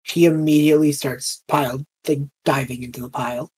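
A woman playing a tabletop roleplaying game, speaking casually and haltingly in character. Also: extremely low pitch, steady tone, darkish tone, slightly rough.

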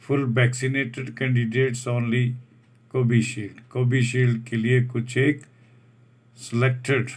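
A man reads out a job advertisement over a document, slowly restating each English term in Hindi. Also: mid-range voice, flat delivery. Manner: slow, deliberate, restates each point in Hindi after English terms. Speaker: job vacancy channel host.

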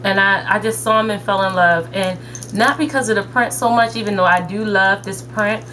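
A woman's voice: mid-range voice, moderate pace, sharp tone, a little hiss or hum, rough recording. A young woman chatting casually and upbeat to viewers about her shopping haul, recorded on a webcam in her bedroom. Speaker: haul vlogger. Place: bedroom, indoors. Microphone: webcam at desk distance.

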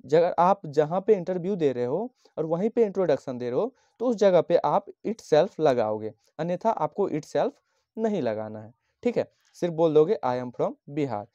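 A man from Bihar teaching a lesson, explaining slowly and patiently and repeating each phrase as he dictates sample lines. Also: fairly high pitch, mellow, dry recording. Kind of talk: handwritten English lesson explained in Hindi. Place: at a desk, writing on a notebook page. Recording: dull, lo-fi dry sound.

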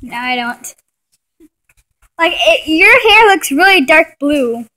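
A woman's voice: high voice, expressive, slow pace, bright, forceful tone. A young woman chatting casually and playfully while filming a home video on her phone. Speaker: person filming and commenting. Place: living room at home, indoors. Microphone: phone held by the speaker.

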